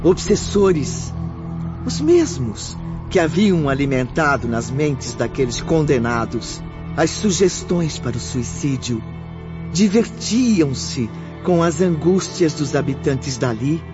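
A man's voice: a little high, big pitch swings, slow pace. A man giving solemn, emphatic scripted narration for a radio drama. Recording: muffled audio with music behind.

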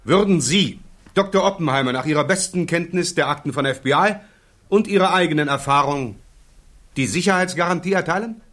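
An actor playing a formal, methodical lawyer who questions a witness at a hearing in a radio play. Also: male voice, on the high side, a little fast, fairly bright tone.

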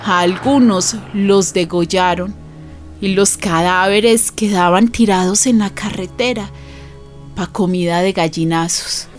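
An actress playing a sorrowful witness, narrating a radio drama in a grave, testimonial way. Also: female voice, mid-range voice, measured pace, soft background music, natural voice. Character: sorrowful female witness recounting a massacre.

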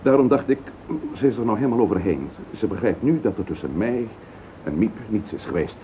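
An actor playing an earnest, protective and emotional husband in a radio drama, with a Netherlands Dutch accent. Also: male voice, fairly low pitch, animated, fairly quick, close to an everyday voice. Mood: defensive, explaining. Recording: tinny, noisy old radio recording.

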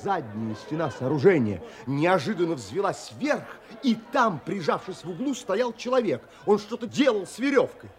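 A man's voice: high voice, sing-song, normal speed, few pauses. An actor playing an earnest, troubled adventurer who tells his own story in theatrical, emotionally involved audio drama narration. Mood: tense, urgent. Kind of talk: dramatic first-person literary narration.

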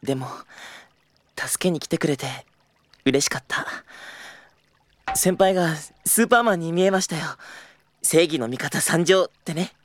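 A man's voice: on the high side, lively intonation, moderate pace, pauses often, close to an everyday voice. A man playing an earnest, easily exasperated young junior travel agent in an audio drama, polite and boyish in his delivery. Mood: grateful, relieved.

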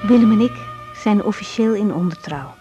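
A woman's voice: medium pitch, expressive, moderate pace, dull audio, music in the background, lightly acted. An actress playing a tired, caring young woman doctor in a naturalistic radio drama, plain and matter-of-fact. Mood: reflective, weary. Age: young adult.

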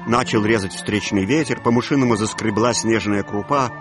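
A man narrating a literary radio play in an expressive storytelling manner, with music behind him. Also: lowish voice, moderate pace.

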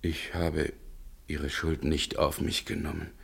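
An actor playing a bewildered, guilt-stricken man in a 1960s German radio drama, with a gravelly, husky voice. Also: a bass voice, level tone, slow, fairly bright tone, exaggerated voice. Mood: stunned.

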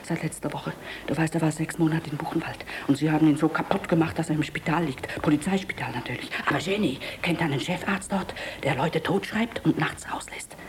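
An actress playing a middle-aged stage star in a radio play, theatrical, bossy and teasing. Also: female voice, low-pitched, fairly quick, close to an everyday voice. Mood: urgent, hushed. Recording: noisy radio drama with crowd behind.

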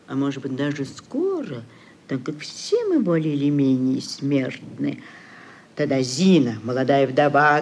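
An actress playing a scheming, sugary middle-aged society mother in a classic radio play, cajoling and declaiming theatrically. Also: low voice. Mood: persuasive. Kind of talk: classic Russian literary radio play acting.

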